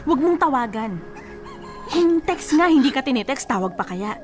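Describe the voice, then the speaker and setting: An actress playing a blunt, bossy female cousin in a radio drama, teasing and scolding with a sharp tongue. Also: high voice, expressive, moderate pace, soft background music.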